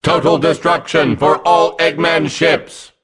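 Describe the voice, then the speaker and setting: A man voicing a hulking combat robot in a video game, gruff and mechanical, giving clipped, vengeful commands. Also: a little high, steady tone, measured pace, fairly bright tone. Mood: cold, commanding.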